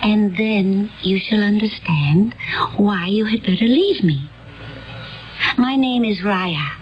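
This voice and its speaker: An actress playing a gentle, naive alien woman from a utopian world, earnest and solemn in a dramatic radio play style. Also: medium pitch, background noise, lightly acted. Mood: earnest, calm. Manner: earnest, dreamy, solemn, deliberate. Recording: dull old radio recording with music behind.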